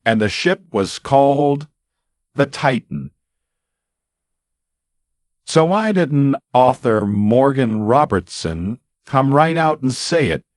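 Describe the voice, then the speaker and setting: A man telling a scripted radio story with dramatic pauses and punchy, emphatic reveals. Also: medium pitch, very animated, no room sound, studio quality. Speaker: radio commentator.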